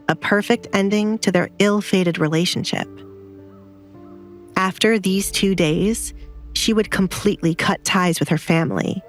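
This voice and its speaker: A woman narrator reading a scripted romantic drama in a storytelling style. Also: medium pitch.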